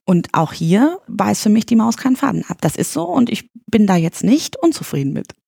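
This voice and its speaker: A woman in her forties from East Berlin, answering interview questions in a measured way, pausing and searching for words now and then. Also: medium pitch, big pitch swings, vocal fry, mellow, breathy edge, no echo, studio quality. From East Berlin, Germany. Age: 40s. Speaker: candidate for pharmacists' chamber, guest. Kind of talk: podcast interview.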